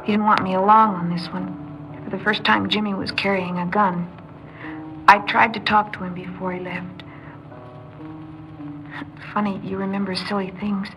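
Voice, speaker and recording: A woman's voice: medium pitch, level tone, normal speed, pauses often, a bit bright, soft background music, natural voice, rough recording. An actress playing an anxious, devoted young woman in an old crime radio drama, with an American accent and an earnest, pleading, emotional delivery. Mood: haunted, subdued. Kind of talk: mid-century American crime radio drama acting, confessional narration and dialogue.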